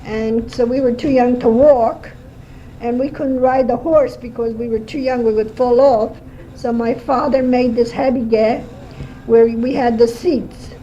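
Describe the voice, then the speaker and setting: An older woman in her seventies, born in Armenia, slowly recalling her life in a home interview, with many pauses and fillers. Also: on the high side, fairly even intonation, dark tone. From Armenia (Erzurum, Turkey). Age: 70s. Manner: slow, halting storytelling with fillers. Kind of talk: oral history interview. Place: home kitchen. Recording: lo-fi home cassette tape with faint hiss.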